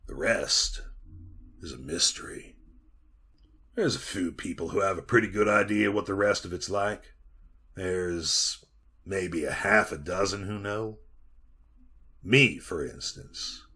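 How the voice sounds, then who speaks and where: Low, brooding old-radio mine guide. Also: male voice, slow pace, long pauses, pushed and bright, slightly rough, exaggerated voice. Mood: brooding, confiding. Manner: folksy, colloquial storytelling. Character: mine guide recalling a dreadful past, brooding and cocky. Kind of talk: retro 1940s radio drama acting.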